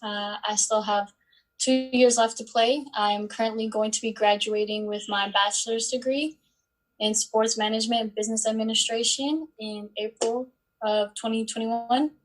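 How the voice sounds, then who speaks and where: A woman in her twenties, born in Bosnia and Herzegovina, answering interview questions in an unhurried way with many pauses and fillers. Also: fairly high pitch, fairly even intonation, rough recording. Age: 20s. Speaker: guest, student athlete.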